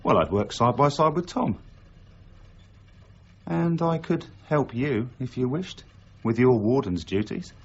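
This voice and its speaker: An actor playing a smooth-talking, self-made schemer in a period radio drama, ingratiating and wry with a show of humility. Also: male voice, medium pitch, lively intonation, unhurried, pauses often, lo-fi sound. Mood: persuasive. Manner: ingratiating, mock-humble, wry. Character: smooth-talking, flattering, sly self-made would-be deputy.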